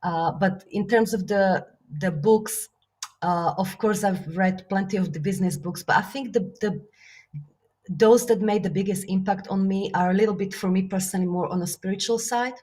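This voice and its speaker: A woman from Slovakia with a Slovak accent, talking in an interview as a guest about business and books. She speaks earnestly and reflectively, with many fillers and soft laughs. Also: mid-range voice, moderate pace. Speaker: guest, business author and coach. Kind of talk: casual interview talk.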